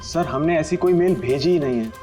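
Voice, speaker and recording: Higher-pitched defensive male lab worker, played by an actor. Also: a little fast, mellow, faint music behind, lightly acted. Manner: protesting, insistent. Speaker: actor in a TV crime drama.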